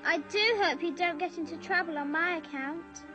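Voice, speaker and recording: A female performer playing a polite, earnest, tender-hearted little girl in an animated fantasy. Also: extremely high pitch, normal speed, music in the background. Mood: gentle concern. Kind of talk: animated fantasy film acting.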